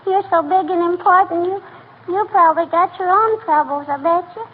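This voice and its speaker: A woman's voice: very high-pitched, normal speed. A woman playing a plaintive, precocious little girl in a hesitant, childlike 1940s radio comedy style. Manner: hesitant, gee-whiz childlike talk. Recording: tinny, noisy 1940 radio broadcast.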